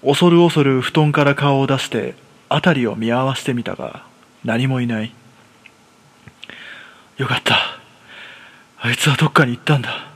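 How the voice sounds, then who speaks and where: A man playing a jittery, self-deprecating recluse in his thirties, narrating a first-person horror story for a radio drama in rough, colloquial speech. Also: mid-range voice, moderate pace, pauses often, fairly bright tone. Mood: frightened.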